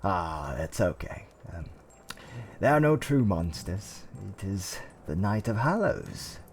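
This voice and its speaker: A man playing a gentle, courteous tavern keeper in a fantasy roleplay, with soothing, old-fashioned politeness and theatrical hums and pauses. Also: low voice, very animated, slight background noise, full character voice. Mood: concerned, soothing. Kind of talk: roleplay ASMR character acting.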